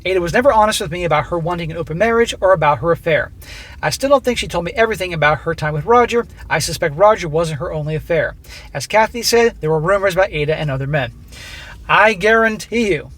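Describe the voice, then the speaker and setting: A man hosting a channel who reads a listener's story aloud and comments on it in a blunt, profane, coaching way, his voice going hoarse. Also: high voice, expressive, quick pace, fairly bright tone. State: losing his voice. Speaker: host reading listener stories.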